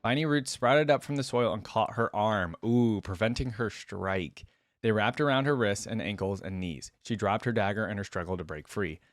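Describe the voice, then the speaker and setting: A man's voice: lowish voice, normal speed, dry recording. Man reading aloud, dry close sound. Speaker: reaction video host. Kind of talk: reading a story aloud with casual reactions.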